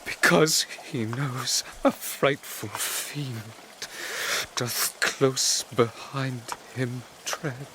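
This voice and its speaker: Man acting a haunted, intense young scientist, noisy recording. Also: on the low side. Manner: anguished, intense, halting when nervous. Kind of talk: dramatised audiobook acting, theatrical gothic delivery.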